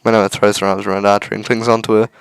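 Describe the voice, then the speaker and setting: A man reading a visual novel aloud as a let's-play host, with measured, earnest narration. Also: on the low side, flat delivery, vocal fry, smooth, no room sound. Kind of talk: dramatic read-aloud narration with casual asides.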